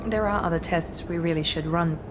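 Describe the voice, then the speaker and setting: A woman's voice: fairly low pitch, a little fast, natural voice. A woman playing a cold, commanding sci-fi agent. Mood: cool. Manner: cool, dry, faintly condescending. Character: cool, clipped woman officer, confident and cold. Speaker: Cerberus project director. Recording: game audio with music score.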